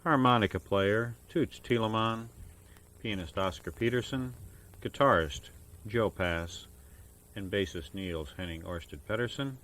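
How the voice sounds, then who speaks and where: Low-voiced man announcing on jazz radio. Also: measured pace, a bit bright. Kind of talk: scripted jazz radio announcing with track introductions. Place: radio studio. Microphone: broadcast studio microphone.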